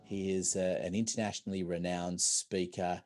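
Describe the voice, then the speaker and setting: Deep-voiced, rambling male podcast host. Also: level tone, moderate pace, a little mellow, soft background music. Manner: loose, rambling questions. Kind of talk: casual interview hosting.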